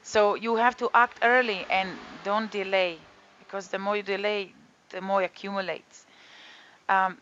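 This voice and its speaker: A woman with a Croatian accent speaks at a middle pitch, earnest and steady as she introduces a yoga and detox course. She keeps returning to her key phrases and fills gaps with soft ums. The recording is slightly dull and lo-fi.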